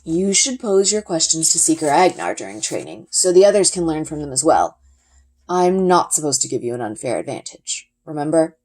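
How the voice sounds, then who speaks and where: A calm storybook papa, played by a woman reading aloud, low in pitch. He speaks in a measured way and cautions gently, like a sensible adult man. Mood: calm, cautioning.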